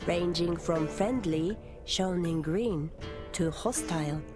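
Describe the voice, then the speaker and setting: A woman voicing a game's tutorial advisor in calm, measured, scripted narration. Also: lowish voice. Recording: game audio with music.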